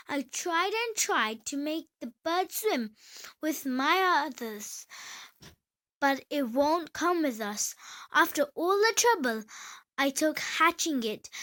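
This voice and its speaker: A woman's voice: high voice, fairly bright tone, exaggerated voice. A woman voicing a bossy, crabby old female duck in a children's storybook, grumbling and scolding like a know-it-all. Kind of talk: children's storybook read-aloud.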